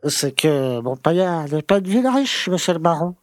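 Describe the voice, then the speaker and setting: An actor playing a pompous, grumbling conservative small-town mayor who lectures and scolds in a French audio drama. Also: male voice, a little high, very animated, moderate pace, dry recording, studio quality. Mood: sceptical, pompous.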